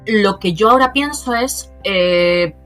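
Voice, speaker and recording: A woman, a podcast host who talks at length with many fillers and lively asides. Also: mid-range voice, slow pace, faint music behind. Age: 40s. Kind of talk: casual interview conversation.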